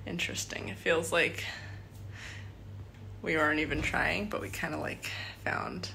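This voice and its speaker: Slow-paced young woman vlogging over background noise. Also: deep voice, creaky, sharp tone. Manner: rambling, halting, often trailing off. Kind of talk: casual chat to camera. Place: various spots on the go in Bali. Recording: noisy ambient phone-style vlog recording.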